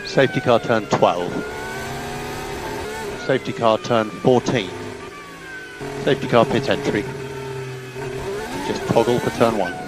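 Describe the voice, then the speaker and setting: A man's voice: mid-range voice, a little slow, long pauses. A man working as a race engineer, giving clipped, repeated instructions over motorsport team radio. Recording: noisy car team radio.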